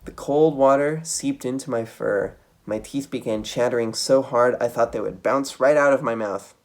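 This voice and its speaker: Expressive man reading a children's story. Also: medium pitch, normal speed. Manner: dramatic, expressive delivery. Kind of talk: animated read-aloud of a children's book.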